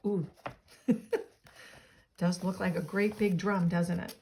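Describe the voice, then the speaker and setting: A woman's voice: fairly low pitch, fairly even intonation, slow pace, creaky, a little mellow, a little hiss or hum. A woman reading a storybook aloud expressively, with chatty, casual asides. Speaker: storybook reader.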